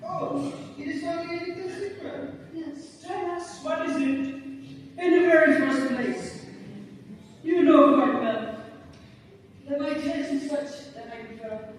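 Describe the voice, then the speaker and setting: An amateur performer acting as a boisterous young village boy in a stage play, with a very high voice. The lines are declaimed slowly and stiffly, each phrase set apart. The sound is distant and swims in heavy echo. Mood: animated. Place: large reverberant hall, recorded from afar. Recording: very echoey hall, distant mic, faint noise.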